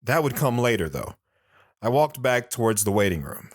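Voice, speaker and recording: A man's voice: mid-range voice, very animated, normal speed, dry recording, studio quality. An actor playing a reflective grown man recounting his boyhood in a first-person audio drama.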